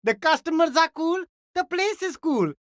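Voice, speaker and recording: Casting: high-voiced game street hustler, slick, eager, swooping delivery, flattering slangy patter, dry close sound. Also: moderate pace, dry recording. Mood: easygoing sales patter. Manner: salesman's patter, flattering, laid-back slang. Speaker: street vendor character in a video game. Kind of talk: video game character dialogue.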